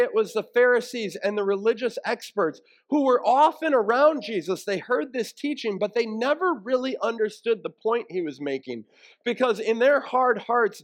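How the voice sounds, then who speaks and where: A man preaching a sermon as a pastor, explaining things in a plain-spoken, conversational way. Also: high-pitched.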